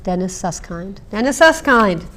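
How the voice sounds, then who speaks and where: A woman hosting a television interview, asking slow, deliberate questions in a chatty manner. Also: mid-range voice, very animated, a bit bright, slight background noise. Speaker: talk show host. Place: seaside inn.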